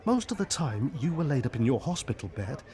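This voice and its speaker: An actor playing an easygoing, level-headed, wry friend in a radio soap. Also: male voice, medium pitch, sing-song, fairly quick, mellow, lightly acted. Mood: wry, reassuring. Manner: reasoning, wry.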